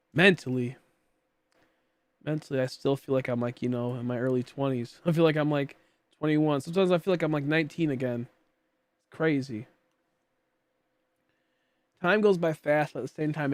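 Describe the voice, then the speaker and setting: A man's voice: mid-range voice, normal speed, pauses often, no echo. A man in his late twenties chatting casually while streaming a game, rambling and joking about himself as he talks to viewers and a friend. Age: 20s. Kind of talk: casual livestream chat while gaming.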